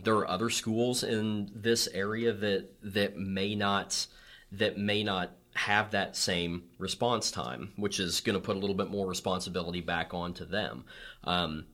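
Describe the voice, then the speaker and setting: A man with a deep voice in casual, emphatic conversation as a guest. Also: fairly bright tone. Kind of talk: casual chat.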